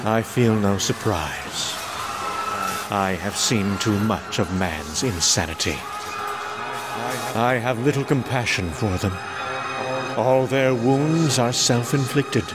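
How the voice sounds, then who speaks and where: A man playing a weary, disillusioned wanderer, narrating a comic book drama in a solemn, theatrical way. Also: on the low side. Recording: loud music and sound effects behind.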